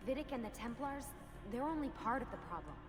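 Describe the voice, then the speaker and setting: A terse young escape leader. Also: female voice, a little high, very animated, quick pace. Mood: tense. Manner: terse, urgent, commanding. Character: tense young woman leading an escape, no-nonsense. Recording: game soundtrack music behind.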